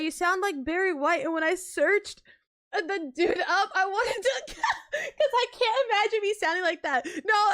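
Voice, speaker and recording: A woman's voice: very high voice, a bit bright. A young woman streaming live, chatting casually and rambling with lots of filler words and frequent laughs.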